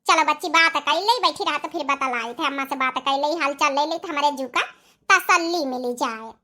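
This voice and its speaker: A woman voicing a chatty, caring young married village woman in an Awadhi comedy cartoon, with a rustic, drawn-out way of talking. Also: sits high, pushed and bright.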